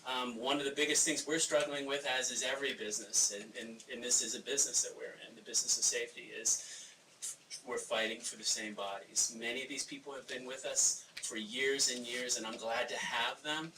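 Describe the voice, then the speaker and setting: A man's voice: mid-range voice, steady tone, brisk. A man giving an informal address at a town meeting as a supervisor presenting staff. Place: town board meeting room. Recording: distant echoey meeting room microphone, noisy.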